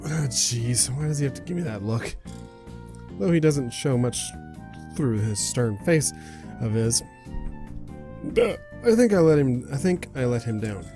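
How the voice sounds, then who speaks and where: A man's voice: medium pitch, lively intonation, a little slow, pauses often, dark tone, soft background music, lightly acted. A man voicing a hesitant, conscientious young video game protagonist, thinking aloud in a reflective, wavering way. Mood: guilty.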